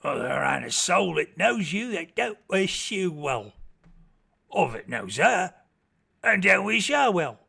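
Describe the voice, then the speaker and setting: A man voicing a hearty, exuberant drummer who proclaims a wedding toast with booming, drawn-out delight. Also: big pitch swings, gravelly, sharp tone, husky, exaggerated voice. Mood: hearty, jubilant.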